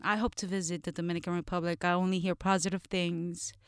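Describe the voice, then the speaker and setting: A woman's voice: fairly low pitch, little pitch movement, fast talker, clear, resonant tone, no room sound, studio quality. A woman hosting an interview, asking questions in a friendly, upbeat way. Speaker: interview host.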